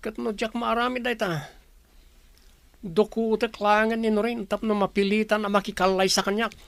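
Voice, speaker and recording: A man's voice: high-pitched, clear, resonant tone, no echo. A man acting a stubborn, jealous schemer in a serial audio drama. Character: scheming, stubborn jealous male rival plotting to ruin another. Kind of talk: Filipino radio-style drama dialogue.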